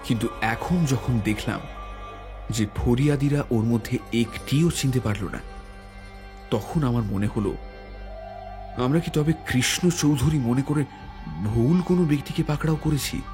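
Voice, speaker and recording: A man reads a scripted detective tale as its inspector narrator. His voice is middle-pitched and his phrasing measured and deliberate. Faint music runs underneath. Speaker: narrator and police inspector character. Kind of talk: dramatic scripted story narration. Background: background music.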